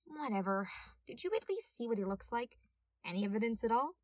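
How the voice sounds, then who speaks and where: A woman's voice: on the high side, very animated, brisk, a little mellow, no echo. A woman voicing a brash, foul-mouthed tall policewoman who is exasperated and scolding, in a story.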